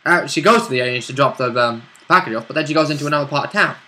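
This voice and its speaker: A man chatting casually as a player co-hosting a tabletop game recap, jokey and quick to chip in. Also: a little high, bright, forceful tone. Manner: jokey, interjecting. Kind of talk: casual banter.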